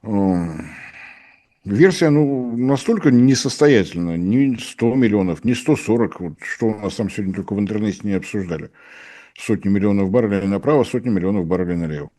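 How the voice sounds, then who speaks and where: Middle-aged male analyst, low mellow voice, dry ironic delivery. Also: expressive, brisk. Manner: ironic, dryly mocking, acts out dialogues. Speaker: energy analyst guest. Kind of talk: sarcastic analytical commentary.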